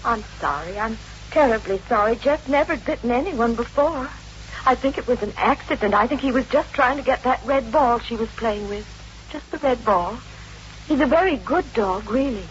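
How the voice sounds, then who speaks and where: An actress plays a bitter, distraught wife in a vintage radio drama. Her voice sits fairly high as she pleads and repeats herself broodingly. The old recording is muffled, with some noise. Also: lively intonation, normal speed, natural voice. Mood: sorrowful, distressed. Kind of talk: 1950s radio drama acting.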